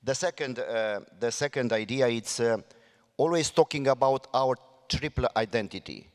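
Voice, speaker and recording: A man with a Romanian accent speaks in earnest, halting English, stopping often to search for words. His voice sits at an ordinary middle pitch. A long hall reverb trails after him, as at a conference. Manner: halting, many fillers and restarts, earnest. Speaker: mayor on a panel. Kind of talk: panel debate remarks.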